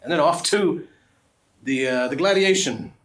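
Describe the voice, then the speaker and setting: A man's voice: fairly high pitch, a little slow, fairly bright tone. A man speaks in character as a self-assured professor. He is breezy and confident but stumbles over a few words. The room sounds echoey. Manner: confident and breezy, with hesitant restarts. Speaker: player.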